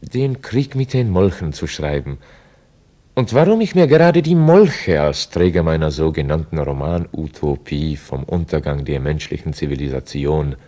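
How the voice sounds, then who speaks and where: An actor playing a reflective novelist who narrates his own story in a radio drama, measured and deliberate. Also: extremely low pitch, warm tone, full character voice. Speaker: author-narrator.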